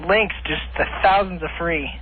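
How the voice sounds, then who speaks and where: A man calling in to a radio show by phone, chatting casually and a little haltingly. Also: a little high. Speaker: radio call-in listener. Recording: tinny phone line with crowd-like clutter.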